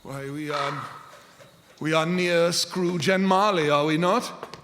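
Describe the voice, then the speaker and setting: An actor playing a frightened, repentant old miser, solemn and pleading, in live radio theater. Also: male voice, on the high side, slight background noise. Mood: fearful, solemn. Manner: slow, solemn, pleading delivery. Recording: hall reverb, loud music behind.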